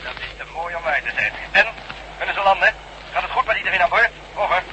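Brisk high-pitched chief over radio. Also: male voice, animated. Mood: brisk, relieved. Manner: brisk, clipped radio procedure. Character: gruff, businesslike male chief on radio link. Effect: two-way radio. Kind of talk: Dutch science fiction radio drama acting. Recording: noisy crackly radio link.

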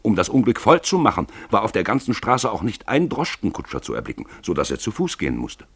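A male narrator tells a literary story for radio with theatrical emphasis. His voice is very low and swings widely in melody. He draws out key words, and the sound is dry and close. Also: huge pitch swings, fast, breathy edge, no echo.

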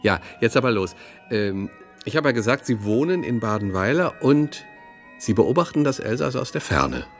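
An actor playing a polite, eager radio interviewer from Westphalia in a radio play, courteous and conversational. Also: male voice, mid-range voice, big pitch swings, moderate pace, soft background music. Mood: courteous, probing.

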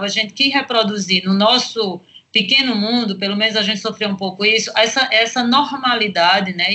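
A woman's voice: mid-range voice, bright, forceful tone. A Brazilian woman speaking as a guest in a conversational academic discussion, reasoning through questions and everyday examples.

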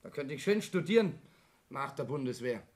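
A young would-be student in a 1960s radio drama. His mid-pitched voice is hesitant and conciliatory yet stubborn. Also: expressive, moderate pace. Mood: defensive, stubborn. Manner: hesitant, appeasing. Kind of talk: 1960s German radio play acting.

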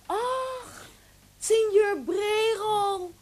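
A woman in a Dutch radio play voicing a saucy, shrewd tavern keeper, quick-witted, teasing and sure of herself. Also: extremely high pitch, darkish tone, full character voice. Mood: teasing, amused.

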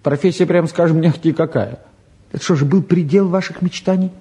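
An actor playing a bored, restless eighteen-year-old younger son, grumbling and flippant in a naturalistic drama. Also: male voice, on the high side, a little fast, dark tone, natural voice. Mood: cheeky. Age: young adult. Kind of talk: naturalistic stage and radio drama acting.

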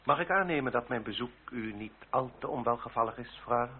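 An actor playing a haughty, menacing aristocratic marquis in a period radio play, stiffly formal and disdainful. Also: male voice, medium pitch, fairly quick, a little hiss or hum, natural voice. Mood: courtly. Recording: tinny narrowband old radio drama, crowd murmur.

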